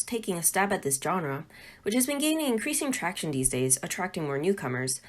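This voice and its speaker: A woman presenting a scripted, pre-recorded instructional talk as an amateur developer. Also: on the low side.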